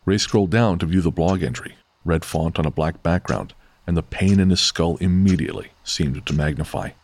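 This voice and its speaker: A man's voice: low-pitched, fairly quick, darkish tone. A man reading scripted narration for a horror audio drama. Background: faint music.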